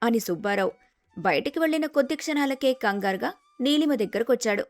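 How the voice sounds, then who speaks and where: A woman's voice: on the high side, expressive, brisk, no echo, soft background music. A woman narrating a scripted story as its storyteller.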